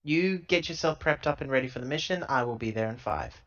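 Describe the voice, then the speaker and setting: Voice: medium pitch, expressive, fairly quick, fairly bright tone, natural voice. A player voicing a stressed, hard-drinking female corporate agent in a tabletop game, dry and curt, on a lo-fi recording. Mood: strained. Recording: dull lo-fi laptop recording.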